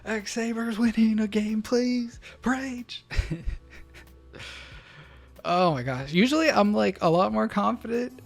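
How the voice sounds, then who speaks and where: A man with a gravelly, fry-edged voice talks his way through a card game for his chat. He muses over each choice and bursts into loud, excited exclamations when a play surprises him. Soft music plays underneath. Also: high-pitched, slow, long pauses. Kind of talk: thinking aloud while playing, talking to chat.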